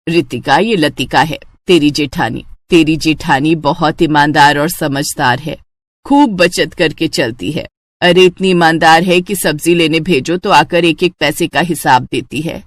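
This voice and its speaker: A woman's voice: low-pitched, unhurried, no room sound, pitched below the performer's own voice. A woman voicing a thrifty older mother-in-law in a moral story cartoon, watchful and fond of nagging household advice. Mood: instructive.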